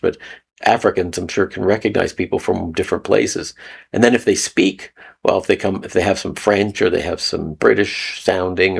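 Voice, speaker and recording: A man's voice: low voice, gravelly, slightly rough. A man from Canada giving a rambling, casual spoken teaching talk, repeating phrases and chuckling often. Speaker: video host. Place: lives in Mexico.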